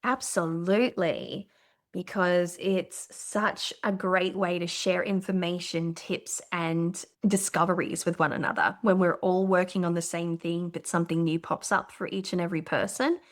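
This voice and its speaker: A woman's voice: fairly low pitch, level tone, normal speed. A woman from Brazil co-hosting a podcast, explaining things in an enthusiastic, casual way with the odd swear word. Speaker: podcast co-host.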